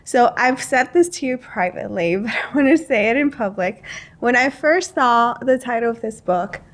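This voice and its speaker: Woman podcast host, voice a bit on the high side, casual chatty interview style. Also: lively intonation, normal speed. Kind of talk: casual chat. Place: indoors, in-person podcast recording.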